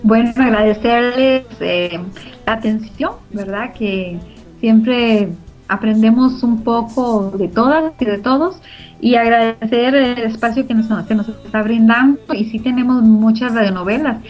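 A woman's voice: on the high side, measured pace, a little mellow. A middle-aged woman with a Costa Rican Spanish accent, answering interview questions in an earnest, emphatic way and repeating phrases for emphasis. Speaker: interviewee, co-founder of a community radio organization. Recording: muffled lo-fi audio with background music.

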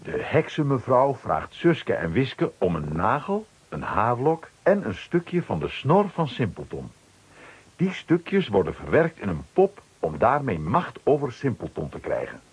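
A male narrator tells a children's adventure story in a medium-pitched, very husky voice. His reading is scripted and highly animated, with wide melodic swings. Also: unhurried.

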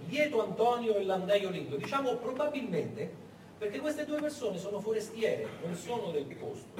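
Animated high-voiced male theatrical storyteller. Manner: dramatic, rhetorical questions, emphatic repetition. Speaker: stage actor and storyteller. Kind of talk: theatrical narrative monologue. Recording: echoey distant theatre recording, faint noise.

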